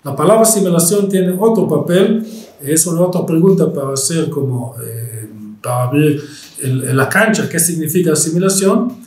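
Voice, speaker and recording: An Israeli historian with a Spanish accent picked up in Argentina, lecturing and pausing often with fillers and restarts. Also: male voice, on the high side, expressive, a little mellow, reverberant. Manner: hesitant, many fillers and restarts.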